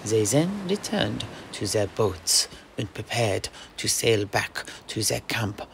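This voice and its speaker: A man telling a tale in character for a fantasy audio play, in dramatic, measured phrases. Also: lowish voice, very animated, vocal fry, a bit bright, slight background noise. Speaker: host, storyteller.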